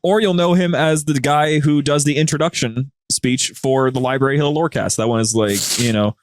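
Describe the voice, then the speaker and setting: A man's voice: fairly high pitch, steady tone, fairly quick, no room sound. A man chatting casually as a podcast guest, informal and full of slang. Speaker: podcast guest, audio drama producer. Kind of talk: casual chat.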